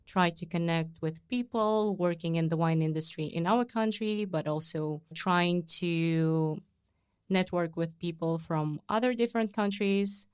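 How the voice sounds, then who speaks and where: A young woman from Kazakhstan, answering interview questions in a measured, plain way. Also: fairly low pitch, clear, resonant tone, no echo, clean studio sound. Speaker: podcast producer, guest.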